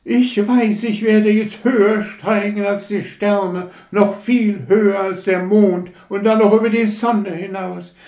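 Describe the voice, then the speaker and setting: A man's voice: mid-range voice, expressive, takes it slowly, a little mellow, voice pushed up. A man voicing a vain, pompous firework rocket that boasts with haughty, affected grandeur in a storybook tale. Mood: triumphant. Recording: roomy echo.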